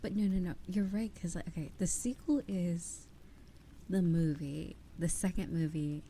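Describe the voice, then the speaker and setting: A young adult woman whispering close to the mic as an ASMR streamer, rambling and giggling as she chats with her live viewers, her voice a little raspy. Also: fairly low pitch, fairly even intonation, moderate pace, dark tone, slight background noise. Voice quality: slightly raspy. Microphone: close sensitive ASMR microphone. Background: rain sounds.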